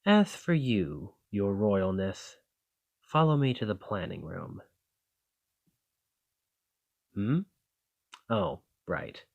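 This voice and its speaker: A man's voice: on the low side, big pitch swings, takes it slowly, creaky, a little mellow, heavily acted. A man playing a roguish bandit leader in an audio roleplay, confident and wry, with a folksy, teasing, drawling manner. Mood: genial, commanding. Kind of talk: scripted roleplay acting addressed to the listener.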